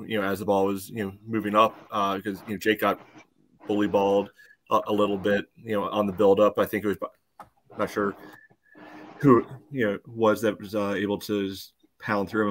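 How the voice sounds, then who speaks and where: A man breaking down a soccer match casually as a podcast panelist, in a halting way peppered with "you know". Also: on the low side, fairly bright tone, a little hiss or hum.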